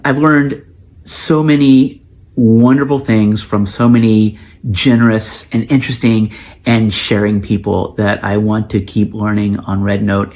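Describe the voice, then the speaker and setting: A man's voice: lowish voice, darkish tone. A man from the United States introducing himself in his first video post, friendly and enthusiastic, with many ums.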